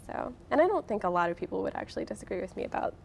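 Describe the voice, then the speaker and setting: A woman's voice: medium pitch, very animated, rapid-fire, creaky, slight background noise. A woman answering as an interview guest in an informal, conversational way, often breaking off and rephrasing. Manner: informal, frequent "like" and self-interruptions.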